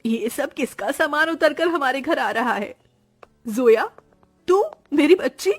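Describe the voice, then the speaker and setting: Cartoon casting: actress as caring, sentimental family woman, very high emotive voice, melodramatic delivery, music underneath. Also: animated, unhurried. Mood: surprised. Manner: emotive, earnest. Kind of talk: melodramatic cartoon story dialogue.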